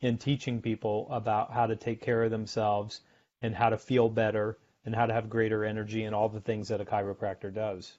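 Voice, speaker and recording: A man's voice: on the low side, level tone, fairly quick, darkish tone, lo-fi sound. A man speaking as a guest expert in a podcast interview, explaining things conversationally in short, emphatic, instructive phrases.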